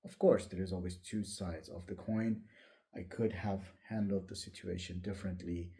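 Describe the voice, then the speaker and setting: A man's voice: low-pitched, fairly even intonation, normal speed, mellow. A man in his late forties, a non-native English speaker, telling his personal story in a candid, reflective, somewhat hesitant way. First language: not English. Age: late 40s. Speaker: vlogger. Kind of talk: personal life story told to camera.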